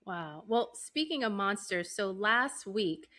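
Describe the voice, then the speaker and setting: A woman's voice: mid-range voice, animated, slow pace, pushed and bright. Woman hosting a podcast interview. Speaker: podcast host.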